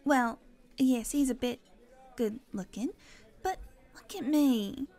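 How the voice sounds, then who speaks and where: A woman's voice: sits high, slow, dark tone, faint music behind. An actress playing a guarded, self-doubting single woman in her thirties, defensive and emphatic, in a conversational audio drama. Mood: defensive, resigned.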